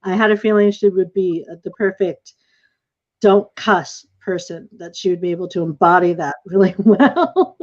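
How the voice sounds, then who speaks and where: A woman's voice: on the low side, moderate pace. A woman hosting a casual panel discussion as a theater director over a video call. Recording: slightly dull lo-fi video call audio.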